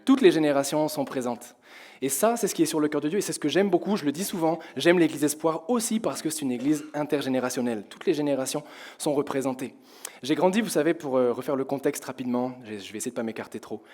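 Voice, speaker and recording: A young man from France preaching to his congregation in a church hall, his voice hoarse, talking in a conversational way and asking the congregation questions. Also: fairly high pitch, quick pace, a little mellow. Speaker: pastor. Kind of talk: sermon. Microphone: headset with in-ear monitor.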